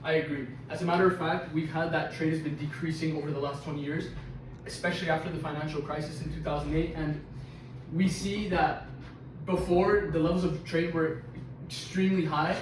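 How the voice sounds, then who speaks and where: A young man playing an economist who explains things deliberately, recorded from far off in a very echoey room. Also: a little high, steady tone, a little hiss or hum, close to an everyday voice. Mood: explaining.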